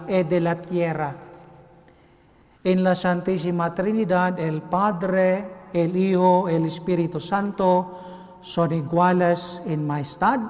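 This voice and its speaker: A Filipino man, a priest, giving a homily in careful, halting Spanish that is not his first language. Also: sits high, darkish tone, in a large hall. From Philippines. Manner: careful, halting non-native phrasing. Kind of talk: homily delivered in learner's Spanish. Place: cathedral.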